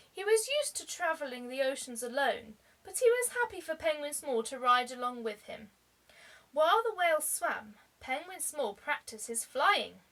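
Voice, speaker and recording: Slow, high-voiced woman reading a storybook. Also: bright, forceful tone, poor audio. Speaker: teacher reading aloud. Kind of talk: expressive children's storybook reading.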